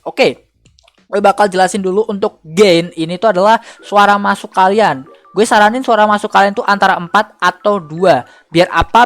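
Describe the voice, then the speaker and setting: A woman with an Indonesian accent hosting a casual software tutorial, talking to viewers in informal, slangy language. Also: lowish voice. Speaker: tutorial host.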